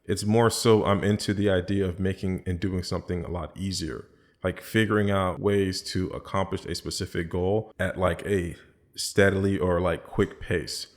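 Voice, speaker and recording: Easygoing deep-voiced man, rambling. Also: steady tone, moderate pace. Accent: American. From born in the Bahamas, raised in the US. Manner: easygoing, rambling, many fillers and restarts. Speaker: podcast guest. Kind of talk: casual podcast chat.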